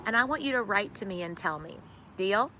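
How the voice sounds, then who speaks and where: Expressive woman reading aloud, noisy background. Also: mid-range voice, brisk. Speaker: teacher reading to a class. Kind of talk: expressive read-aloud storytelling to children.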